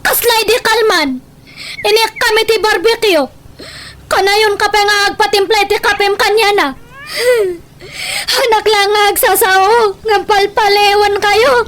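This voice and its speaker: A woman playing a shrill, jealous Ilocano wife. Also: steady tone, a little slow, a bit bright. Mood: tearful, jealous. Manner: whiny, accusatory. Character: jealous, suspicious, nagging wife. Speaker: radio drama actor. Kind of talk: comedic radio drama acting. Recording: faint background noise.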